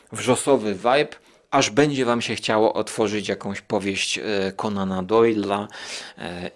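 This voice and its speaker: A man hosting a podcast in a casual, colloquial, rambling and somewhat hesitant way. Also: lowish voice. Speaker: podcast host.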